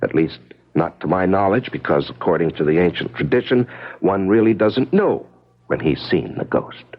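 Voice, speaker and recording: An actor playing an uneasy, placating husband with a husky voice and halting delivery in an old-time radio mystery. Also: male voice, low voice, lively intonation. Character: evasive, placating husband, an engineer, affectionate but uneasy. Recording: dull vintage radio recording.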